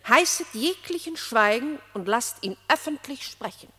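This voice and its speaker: A woman's voice: sits high, lively intonation, moderate pace, bright, forceful tone, breathy edge, heavily acted. An actress playing a solemn, compassionate animal queen in a classic verse radio drama, speaking in formal declamation. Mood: pitying, imploring. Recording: long hall reverb.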